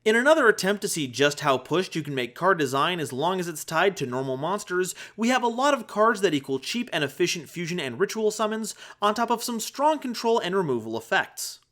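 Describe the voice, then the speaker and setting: Male explainer host, high-pitched and very lively, playful emphasis, music underneath. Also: a little fast, hardly any pauses. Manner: animated, playful, emphatic delivery. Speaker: host of a card game analysis video. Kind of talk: scripted explainer narration with jokes. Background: background music.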